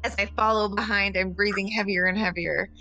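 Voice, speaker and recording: A woman's voice: medium pitch, steady tone, brisk, sharp tone, lo-fi sound. A woman playing a tabletop game, talking informally and asking questions about the scene. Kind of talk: casual chat during a game.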